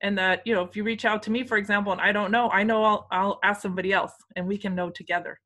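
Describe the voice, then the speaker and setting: A woman's voice: mid-range voice, fairly even intonation, fast talker, a bit bright. A woman moderating a webinar panel, encouraging and repeating key points for emphasis. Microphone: video call.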